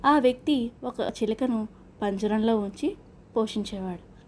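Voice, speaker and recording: Woman reading a kids moral story, mellow kinda high voice, little bit of background noise. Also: a little slow. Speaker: children's storyteller, channel host. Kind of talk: scripted moral-story narration for children.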